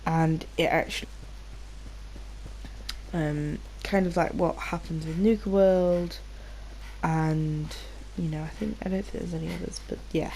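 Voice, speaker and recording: A British woman hosts a gameplay video in a fairly low, soft-edged voice. She rambles informally and swears freely, a little nasal from a cold. There is slight noise and game ambience behind her. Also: steady tone, a little slow, poor audio. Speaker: gaming video host. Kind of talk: casual gameplay commentary.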